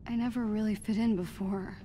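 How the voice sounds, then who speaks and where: A young woman playing an insecure, awkward teenage superhero fan in a video game, halting and self-doubting, with a hushed, breathy delivery. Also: medium pitch, steady tone, a little hiss or hum, lightly acted. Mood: anxious, vulnerable. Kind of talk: naturalistic video game acting.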